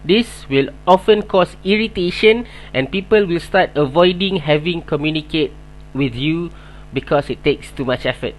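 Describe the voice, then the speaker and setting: Malaysian man, Malay first language, plays a teacher with earnest prepared delivery, slightly raised pitch. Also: normal speed, low-quality recording. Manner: earnest, reads prepared points in order. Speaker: English teacher. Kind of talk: scripted video lesson.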